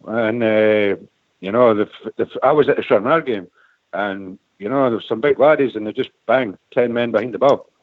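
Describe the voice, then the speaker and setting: Rambling Edinburgh caller on a phone line. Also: male voice, lowish voice, moderate pace, dry recording. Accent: Scottish (Edinburgh). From Scotland, Edinburgh. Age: 40s. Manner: rambling, hesitant, many restarts and "eh". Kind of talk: phone-in call to a fan radio show. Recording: tinny phone line, dry.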